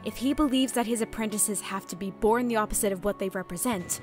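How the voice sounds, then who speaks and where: A woman voicing a young female pony heroine with a dark past, speaking in a subdued, earnest way in a fan audio drama. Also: medium pitch, fast talker, soft background music, natural voice. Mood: determined.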